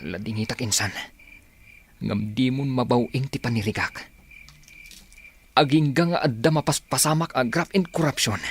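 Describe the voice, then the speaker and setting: A man's voice: medium pitch, normal speed, pauses often, slightly rough, close to an everyday voice. A man playing an earnest, stubborn rebel fighter in an Ilocano radio drama. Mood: resolute.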